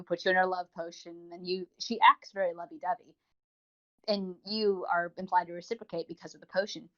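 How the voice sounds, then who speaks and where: A woman co-hosting a podcast, giving a rambling, enthusiastic review in a casual, chatty style. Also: lowish voice. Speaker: podcast co-host. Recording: dull lo-fi voice recording.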